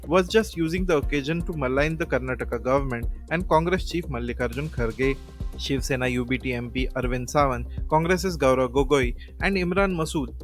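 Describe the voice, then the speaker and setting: A man with an Indian accent presenting scripted news as a newsreader. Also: medium pitch. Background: music.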